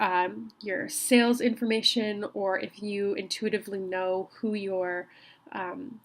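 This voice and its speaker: Woman lecturing with a slight cold. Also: mid-range voice. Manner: friendly, conversational, many ums and restarts. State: has a cold. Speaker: college instructor. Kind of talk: recorded online lecture.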